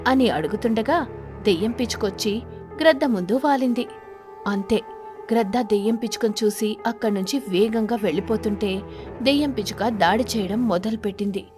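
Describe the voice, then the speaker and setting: A woman's voice: mid-range voice, a little fast, darkish tone, soft background music. A woman storyteller reading a scripted children's story narration.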